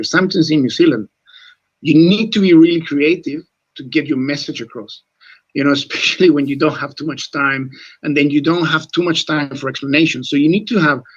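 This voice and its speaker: Chilean-born guy in his 40s, not a native english speaker, earnest, repeats himself, slightly high voice, kinda dull muffled sound. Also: brisk, breathy edge. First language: Spanish. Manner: earnest, repeats words, says mate often. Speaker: rugby scrum coach. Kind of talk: radio interview.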